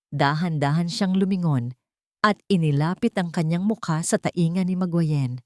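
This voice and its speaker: A woman storyteller reading scripted fiction in a deliberate, dramatic way, with short pauses between phrases. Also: sits low, warm tone, dry recording, studio quality. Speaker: storyteller, narrator.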